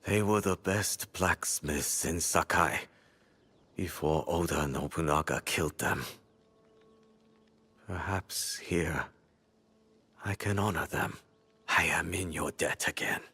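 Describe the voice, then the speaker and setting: Game role: solemn, broken male blacksmith, very low voice, slow halting delivery. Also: sharp tone, breathy edge, heavily acted. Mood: solemn, humble. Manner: terse, halting, somber. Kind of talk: video game cutscene acting.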